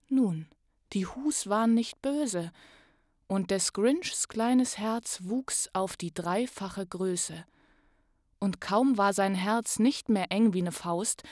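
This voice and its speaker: Storybook narrator played by a woman: suspenseful, theatrical, slow-paced rhymed Christmas tale with big dynamic swings. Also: medium pitch, dry recording, clean studio sound. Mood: warm, triumphant. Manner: theatrical, deliberate, swinging from hushed to loud. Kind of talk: dramatic read-aloud of a rhymed children's story.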